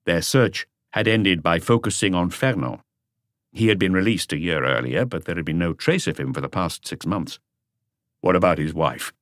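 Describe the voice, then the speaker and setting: A man's voice: low voice, expressive, moderate pace, a bit bright, no room sound, studio quality. A man reading a crime novel as a scripted audiobook narration.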